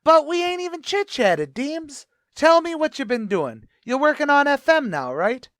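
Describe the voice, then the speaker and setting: A man's voice: very high voice, sing-song, normal speed, fairly bright tone, dry recording, studio quality, exaggerated voice. A man playing a brash, slangy tavern host with a New York accent in an old-time radio sitcom. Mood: pushy, friendly. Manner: slangy, mangled grammar, eager chatter.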